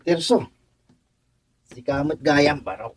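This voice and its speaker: Husky, slow-talking older Ilocano man. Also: a little high, no echo. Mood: kindly. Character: kindly older village man, fatherly confidant. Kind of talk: Filipino radio drama acting.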